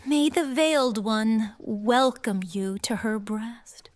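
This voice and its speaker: Very slow-spoken, gentle young fantasy heroine played by a woman. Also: on the high side, no room sound. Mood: solemn blessing. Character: young heroine, gentle, reassuring, quietly earnest. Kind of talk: fantasy audio drama acting.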